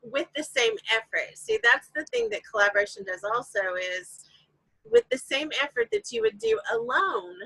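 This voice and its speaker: A woman's voice: high voice, sing-song, moderate pace, sharp tone, no echo, low-quality recording. A woman guest explaining her ideas emphatically in a casual interview, with examples. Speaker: guest course creator.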